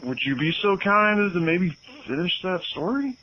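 A man's voice: high voice, expressive, moderate pace. A man playing a casual, blunt fan phoning a radio show on a dull, noisy line. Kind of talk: casual chat.

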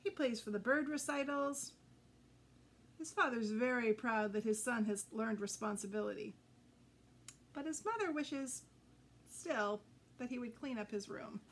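Older-ish lady in her 50s doing a kids read aloud, highish voice, expressive, noisy room recording. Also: unhurried, pauses often. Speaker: storyteller. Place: indoor room with green curtain backdrop.

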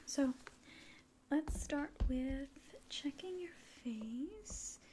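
A woman in her twenties doing a whispered ASMR roleplay in a soft, breathy, gentle and reassuring voice, close to the mic. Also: high-pitched, lively intonation, very slow, background noise. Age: 20s. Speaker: ASMR performer playing an alien medical examiner. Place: small indoor room.

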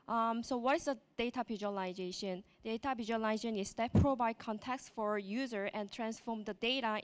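A woman from South Korea with a Korean accent, speaking English as a second language in a conference talk on a large stage, pausing often with fillers and restarts into a handheld mic. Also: a little high, clean tone. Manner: halting, many fillers and restarts.

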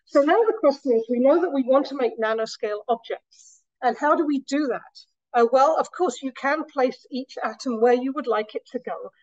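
Woman prof giving a talk, bit scratchy, higher voice, kinda muffled dry sound. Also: normal speed, darkish tone, no room sound. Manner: explanatory, self-deprecating, many restarts. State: slightly scratchy voice after a week of talking. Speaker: materials science professor giving a talk. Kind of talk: academic lecture. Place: lecture room.

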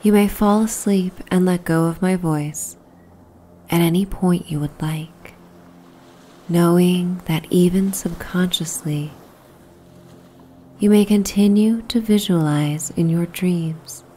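A woman narrating a guided sleep meditation in a soothing, hushed, breathy and unhurried way. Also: on the low side, steady tone, slight background noise. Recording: soft background ambience soundscape. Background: relaxing ambient soundscape.

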